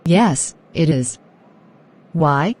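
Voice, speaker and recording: Woman playing a polite, lower-voiced cartoon interviewer. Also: expressive, very slow, darkish tone, full character voice. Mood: friendly, casual. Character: polite, eager young woman interviewer and friend. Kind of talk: scripted English-learning dialogue.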